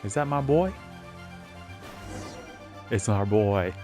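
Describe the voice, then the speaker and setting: A woman's voice: very deep voice, expressive, slow, dark tone. A woman streamer giving casual, slangy reactions while she plays a video game. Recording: game music behind the voice.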